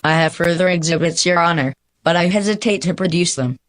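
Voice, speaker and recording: An actor playing a confident, theatrical defense lawyer, formal and emphatic, in a radio courtroom drama. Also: male voice, fairly high pitch, brisk, fairly bright tone, lightly acted. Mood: polite, sly. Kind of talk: radio play courtroom acting.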